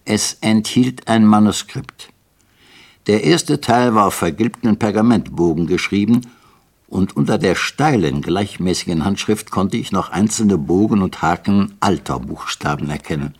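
A man narrating a first-person story in a measured, evenly paced storytelling manner. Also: fairly low pitch, breathy edge. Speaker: audiobook narrator.

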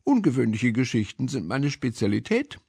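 A man's voice: medium pitch, big pitch swings, normal speed, creaky, darkish tone, husky, dry recording, studio quality, exaggerated voice. A man voicing a proud, chatty storytelling mouse in a children's storybook.

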